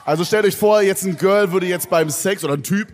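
A man co-hosting a live podcast on stage, excitable and teasing the audience. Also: high voice, a little fast, slight background noise. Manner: excitable, swearing, teasing the crowd. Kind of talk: live comedy podcast banter. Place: large sold-out concert hall. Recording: live hall recording with loud crowd.

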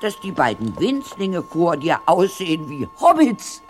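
A woman's voice: fairly low pitch, sing-song, a little slow, soft background music. An actress playing a small, friendly, slightly hesitant woman shopkeeper in an audio drama. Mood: friendly, wry.

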